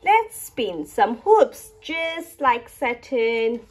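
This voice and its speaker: A woman voicing an excitable young child exploring space in a picture book, with playful, exclamatory wonder. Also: a little high, extremely slow, exaggerated voice.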